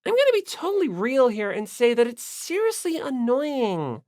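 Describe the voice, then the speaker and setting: Man putting on a brash high-pitched teenage gyaru girl. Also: huge pitch swings, normal speed, darkish tone, heavily acted. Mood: irritated. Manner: blunt, snappy.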